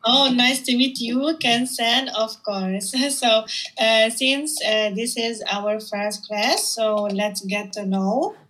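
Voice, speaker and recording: Filipino-accented woman, slow encouraging tutor. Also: a little high. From Philippines. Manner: encouraging, many soft backchannels. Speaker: online English teacher. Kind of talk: friendly tutoring conversation.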